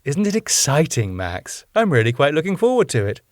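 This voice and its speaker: A man's voice: fairly high pitch, huge pitch swings, brisk, no echo, clean studio sound, pitched above the performer's own voice. A man voicing a cheerful, domineering middle-aged wife in a raised voice. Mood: coaxing. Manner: sweet but domineering.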